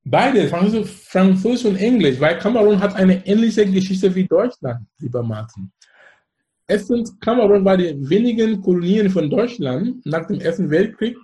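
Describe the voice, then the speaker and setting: A man's voice: high-pitched, lively intonation, moderate pace, warm tone. Effusive man with a Cameroonian accent. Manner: effusive, emphatic, fond of repeating phrases. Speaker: guest. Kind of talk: conversational interview.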